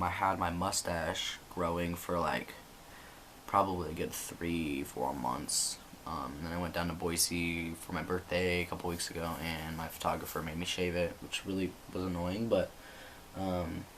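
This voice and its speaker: A young man talking casually to camera in a home vlog, relaxed and rambling with many ums and likes. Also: deep voice, slight background noise. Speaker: vlogger. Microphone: built-in computer camera mic.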